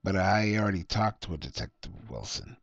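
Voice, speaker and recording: A man voicing an anxious, suspicious arrested man in a short story reading, excitable and insistent. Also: low-pitched, brisk, vocal fry, highs cut off, heavily acted. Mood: confused.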